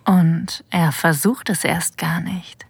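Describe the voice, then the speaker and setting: A woman playing a sensual, confident boss in an erotic audio drama, narrating intimately in a breathy whisper, ASMR style. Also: on the low side, steady tone, dry recording, exaggerated voice. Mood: aroused, intimate. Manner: slow, intimate, deliberate. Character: female boss having a secret affair, sensual and confident. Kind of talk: ASMR-like scripted first-person narration. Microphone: close, dry studio mic.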